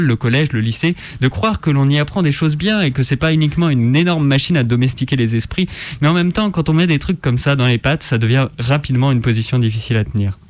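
A man co-hosting a radio show, delivering opinion pieces with a sardonic, politically engaged manner. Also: medium pitch. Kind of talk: scripted opinion column and radio banter. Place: radio studio.

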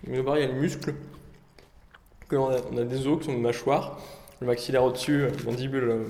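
Young male medical intern, hesitant informal explainer, mid-pitched, heavy room echo. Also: level tone, fast talker, warm tone. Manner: hesitant, thinking aloud. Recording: echoey room with long reverb.

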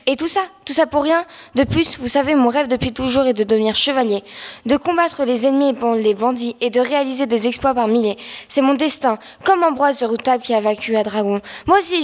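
An unprepared translator in an audio drama, played by a woman. The voice is fairly high and earnest, halting as it recites, with a hall-like reverb. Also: darkish tone, close to an everyday voice. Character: hesitant bystander drafted as translator.

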